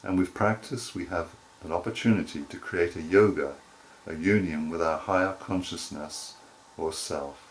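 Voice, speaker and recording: A man with a deep, low voice gives guided relaxation instruction as a yoga nidra teacher. He speaks in measured, deliberate phrases, pausing briefly between them. There is some faint background noise in the recording. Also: low-quality recording.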